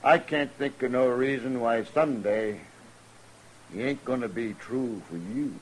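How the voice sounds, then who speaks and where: An American actor playing a broken, fearful gunfighter in a 1950s radio western, with a halting, pleading frontier drawl. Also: male voice, mid-range voice, lightly acted, rough recording. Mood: defeated, bitter. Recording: old radio recording with faint noise.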